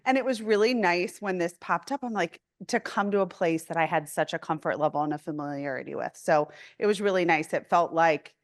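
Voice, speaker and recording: Woman panelist, casual conversational, low pitch, friendly fillers. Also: fairly bright tone. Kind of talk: panel discussion answer.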